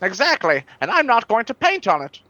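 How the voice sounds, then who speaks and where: A man's voice: sits high, wildly swooping pitch, moderate pace, bright, forceful tone, no room sound, dull audio, exaggerated voice. An actor playing a nervous, evasive, melodramatically devoted man in a comic radio play, stammering and flustered. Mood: wounded, melodramatic. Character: flustered, evasive, theatrically devoted male sidekick.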